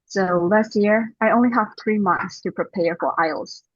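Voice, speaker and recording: Husky young woman, dull lo-fi audio. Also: medium pitch, measured pace. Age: 20s. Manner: relaxed, hedging with fillers. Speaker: guest. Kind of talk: casual interview answers.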